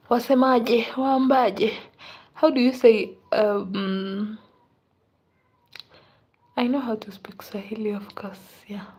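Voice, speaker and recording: A tired, unwell woman in a casual live stream, greeting viewers and chatting softly with frequent laughs, sometimes dropping to a whisper. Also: fairly high pitch, husky. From Kenya. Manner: soft-spoken, laughs often, drifts into whispers. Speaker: livestreamer.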